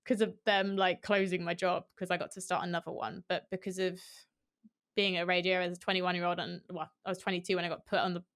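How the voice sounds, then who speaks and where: A young woman esports host, a guest on a video call, talking candidly and animatedly through a headset. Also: fairly low pitch. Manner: candid, animated, quick with restarts and fillers. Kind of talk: casual interview chat. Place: small room at home.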